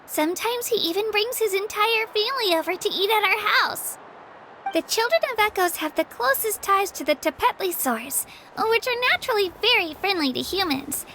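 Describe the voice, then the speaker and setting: A woman's voice: very high-pitched, sharp tone, slight background noise, heavily acted. A woman voicing an eager, friendly young girl adventurer in a video game, earnest, enthusiastic and chatty.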